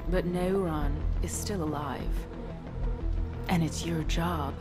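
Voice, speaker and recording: Slow, cold female commanding officer. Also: low voice, fairly even intonation, loud music behind the voice. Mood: stern. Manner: measured, stern, menacing. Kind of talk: dramatic video game acting.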